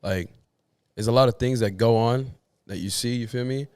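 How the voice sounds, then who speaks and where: A man's voice: medium pitch, moderate pace, a little mellow, smooth, no room sound, studio quality. A man, a podcast guest talking casually and thoughtfully, often restarting and repeating words as he searches for how to put things. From Australia. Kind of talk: casual conversation.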